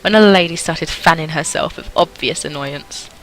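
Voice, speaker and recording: A woman's voice: sits low, normal speed, faint music behind, rough recording. A woman hosting a gaming video, reading a visual novel aloud with casual, playful, self-mocking commentary.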